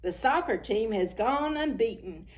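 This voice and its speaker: A woman reading a picture book aloud to preschoolers as a story time host, expressive and full of exclamations. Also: on the high side, unhurried. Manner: expressive, exclaiming, talks to young children. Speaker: children's librarian hosting story time.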